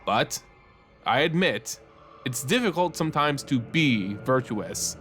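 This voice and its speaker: A man voicing a virtuous female knight in a fantasy story, measured, gentle and earnest. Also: medium pitch, lively intonation, faint music behind.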